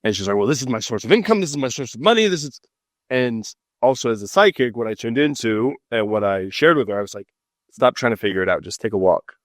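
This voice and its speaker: A man's voice: mid-range voice, quick pace, dry recording, studio quality. A man from Los Angeles, a psychic and mentor, chatting loosely and jokingly as a podcast guest. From Los Angeles, United States. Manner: relaxed, joking, loose conversational talk. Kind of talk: casual podcast interview.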